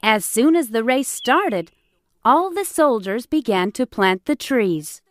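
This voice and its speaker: A woman narrating a scripted children's fairytale as an expressive storyteller. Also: on the high side, slow, slight background noise. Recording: music bed behind.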